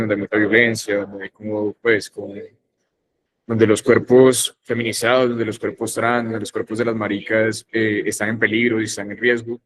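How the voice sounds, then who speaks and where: A young man from northern Colombia with a Colombian accent, speaking reflectively and haltingly on a discussion panel, with many pauses and restarts. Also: on the low side. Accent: Colombian Spanish.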